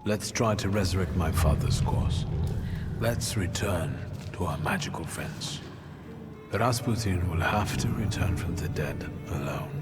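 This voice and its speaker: Grave, low-voiced brooding gentleman. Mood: pensive, resolved. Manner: terse, grave, deliberate. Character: brooding, resolute young gentleman thaumaturge in 1900s Warsaw. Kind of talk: dramatic video game dialogue acting. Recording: loud music under the voice.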